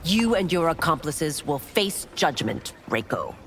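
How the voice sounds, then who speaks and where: A woman's voice: low voice, very animated, unhurried, heavily acted. A woman voicing a resolute female constable and former royal guard who speaks earnestly. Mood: determined. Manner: earnest, determined. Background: music.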